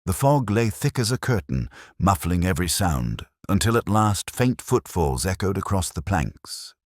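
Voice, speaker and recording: A man narrating a dramatic mystery story in a grave, measured, formal manner. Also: deep voice, very animated, dry recording, clean studio sound. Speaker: audiobook narrator.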